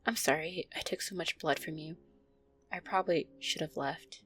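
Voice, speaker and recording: A woman playing a gentle, anxious, affectionate vampire girlfriend in an intimate roleplay audio, speaking softly, tenderly and hesitantly. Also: low voice, normal speed, soft background music. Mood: tender concern. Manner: soft, tender, hesitant, sighing delivery.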